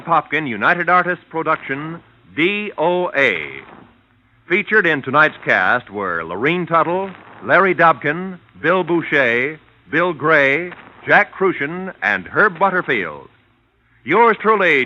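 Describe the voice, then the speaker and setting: Casting: male vintage radio announcer, fairly high voice, animated swings, reading credits. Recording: dull old radio recording.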